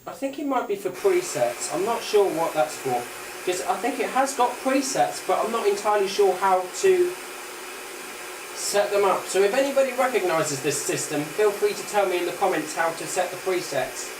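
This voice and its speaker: A British speaker giving a casual hands-on demonstration of a gadget, thinking aloud in a hesitant, halting way. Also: medium pitch, animated, distant mic, noisy background. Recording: roomy echo, off-mic, radio and music playing. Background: music from the player being shown.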